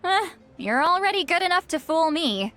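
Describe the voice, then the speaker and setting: An actress playing a playful, easygoing, spirited granny figure with a youthful voice in a video game. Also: female voice, very high-pitched, big pitch swings, normal speed, fairly bright tone, full character voice. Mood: teasing, encouraging. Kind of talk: video game dialogue acting.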